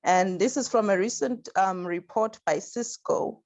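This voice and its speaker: A woman's voice: mid-range voice, measured pace, a little mellow. A woman moderating an online panel, reading out introductions and figures with a few stumbles. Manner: reads introductions and statistics, some stumbles. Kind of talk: hosting a webinar panel. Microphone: video call.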